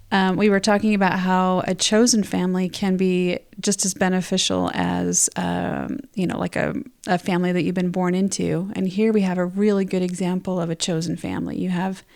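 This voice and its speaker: A woman's voice: on the low side, gravelly, darkish tone. A woman co-hosting a podcast, speaking in a conversational, explanatory way about books and ideas. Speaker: podcast co-host. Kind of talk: book discussion podcast, part casual chat, part reading prepared notes.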